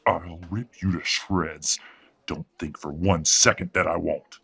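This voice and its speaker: A man's voice: low voice, steady tone, a little slow, creaky, fairly bright tone, husky, exaggerated voice. A man playing a proud, domineering older werewolf father in an audio drama, condescending and menacing.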